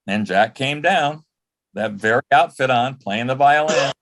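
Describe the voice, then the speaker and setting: An older man telling anecdotes casually as a panel guest, reminiscing with halting restarts and repeated words. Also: medium pitch, fairly bright tone. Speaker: panel guest, former celebrity assistant.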